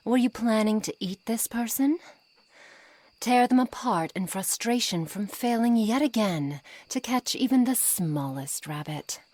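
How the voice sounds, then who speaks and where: A woman playing a haughty, coolly controlling witch in an ASMR roleplay, speaking formally and deliberately in a breathy voice that often nears a whisper. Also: mid-range voice, darkish tone, dry recording. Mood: exasperated, scolding. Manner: slow, formal, deliberate, condescending. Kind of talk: ASMR roleplay, scripted monologue to the listener.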